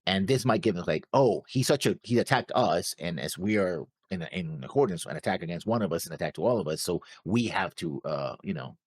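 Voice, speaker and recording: A man with a fairly low voice in a very dry recording. He chats casually as a reaction show co-host, working out story theories in halting phrases with repeated words and imagined lines of dialogue. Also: lively intonation, no echo. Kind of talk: casual discussion of plot theories.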